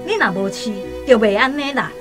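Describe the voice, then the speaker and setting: Woman acting a high-voiced scolding villager. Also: animated, brisk, music in the background, natural voice. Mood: accusing. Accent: Taiwanese. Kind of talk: radio drama acting.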